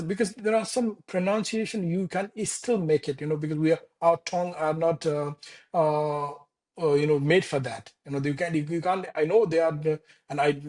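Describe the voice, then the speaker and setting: Man, Nepali accent, thirties, fairly high pitch, halting, interview guest. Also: darkish tone. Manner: halting, many restarts and fillers. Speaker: architect guest. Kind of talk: casual interview conversation. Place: Denmark.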